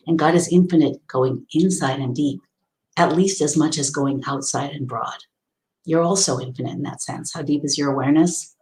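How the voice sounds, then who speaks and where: A woman's voice: low-pitched, a little mellow, roomy sound, poor audio. A woman giving a spiritual teaching talk, earnest and explanatory, often restarting her sentences. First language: English. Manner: earnest, explanatory, with many restarts and Hebrew readings.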